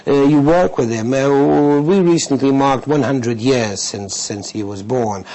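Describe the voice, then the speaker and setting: A man's voice: mid-range voice, measured pace, dark tone, low-quality recording. A man interviewing a guest in a conversational, inquisitive way. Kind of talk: broadcast interview.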